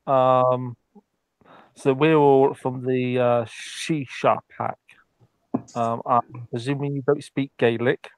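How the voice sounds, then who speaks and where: Man, tabletop roleplay, hesitant, stop-start, mid pitch, dry close sound. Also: little pitch movement, slow, smooth, dry recording, low-quality recording. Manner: hesitant, many ums and restarts.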